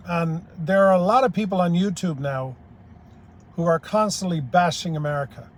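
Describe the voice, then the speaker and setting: A man's voice: high-pitched, moderate pace. An older man from Ireland giving a blunt, plain-spoken, rambling opinion monologue as a YouTube commentator.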